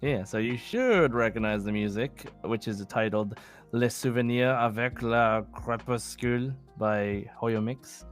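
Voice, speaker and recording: A man's voice: mid-range voice, a little slow, faint music behind. A man hosting a podcast in a casual, chatty style, as a quizmaster.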